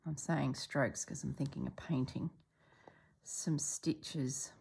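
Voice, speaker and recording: A middle-aged woman from Australia chatting casually as she stitches, a little congested and clearing her throat, sometimes dropping to soft murmurs. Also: extremely low pitch, takes it slowly, whispered. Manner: chatty, relaxed, drops into soft murmurs while working. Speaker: stitching vlogger. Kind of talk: casual chat while stitching.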